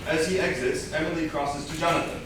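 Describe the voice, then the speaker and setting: A man's voice: on the high side, level tone, a little fast, a bit bright. A man reading stage directions as scripted narration at a play reading. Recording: very echoey room, distant mic, faint noise.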